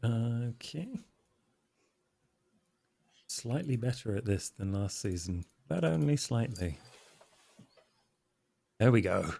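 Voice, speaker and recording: A man's voice: mid-range voice, lively intonation, slow pace, gravelly, long pauses, dark tone, husky. A man playing a tabletop game, talking casually and hesitantly as he thinks aloud.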